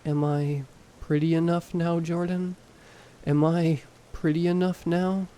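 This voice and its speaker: Man calmly narrating horror stories. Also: fairly high pitch. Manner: calm, measured storytelling. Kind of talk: scripted narration.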